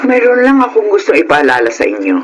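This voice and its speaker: A woman playing a stern but caring middle-aged mother in a Filipino radio drama, measured and admonishing. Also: on the low side, wildly swooping pitch. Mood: gently admonishing. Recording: noisy radio drama recording.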